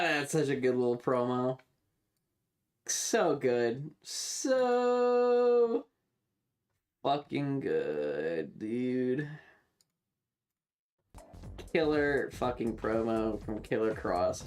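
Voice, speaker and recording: A male streamer gives casual, off-the-cuff commentary at an unhurried pace. He rambles and halts, circling back over his thoughts and swearing casually. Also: mid-range voice, sing-song, soft background music.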